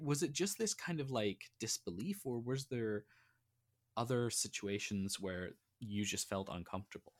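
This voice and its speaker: A male podcast host with a fairly low voice talks with his guest in a reflective, conversational way. He thinks aloud as he speaks, working through his ideas before he asks his next question. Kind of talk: conversational interview.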